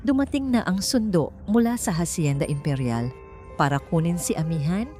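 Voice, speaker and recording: Low-voiced woman narrating drama. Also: a little mellow. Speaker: story narrator. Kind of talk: scripted dramatic narration of a love story. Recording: music behind the voice. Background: background music.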